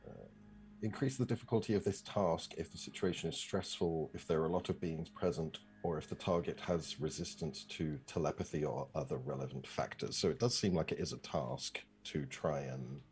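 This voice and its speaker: A man's voice: rumbling low, normal speed, darkish tone, a little hiss or hum. A man playing a tabletop game, talking casually and hesitantly as he thinks aloud.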